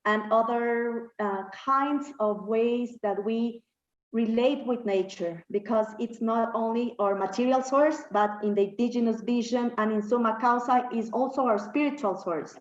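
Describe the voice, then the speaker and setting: A woman from Ecuador speaks as a health activist in a recorded statement, pausing often and searching for her words. Her voice sits a little high. The sound is dull and lo-fi, with a roomy echo around her. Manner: hesitant, searching for words, many fillers. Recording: echoey, dull lo-fi room recording.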